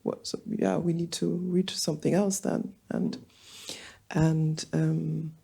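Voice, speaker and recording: A woman's voice: low-pitched, flat delivery, takes it slowly, creaky, mellow, breathy edge. A woman from Germany speaking on a symposium panel, hesitant and searching for words, with many fillers. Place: auditorium.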